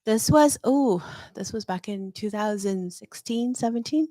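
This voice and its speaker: A woman's voice: medium pitch, expressive, unhurried, warm tone, dry recording. A middle-aged woman from Hong Kong, a fintech consultant, speaking as an interview guest in a confident, candid, storytelling way. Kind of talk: interview conversation.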